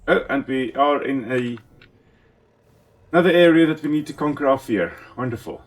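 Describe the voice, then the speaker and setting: South African man, Afrikaans first language, animated mid-pitched voice, chatty enthusiastic gameplay host. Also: normal speed. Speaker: let's play host and ecologist. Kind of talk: casual gameplay commentary.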